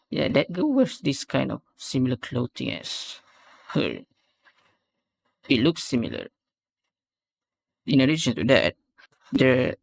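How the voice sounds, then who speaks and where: A man's voice: medium pitch, vocal fry, a little mellow. A man hosting a reaction video, giving casual commentary and analysis as he thinks aloud and repeats phrases. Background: faint music.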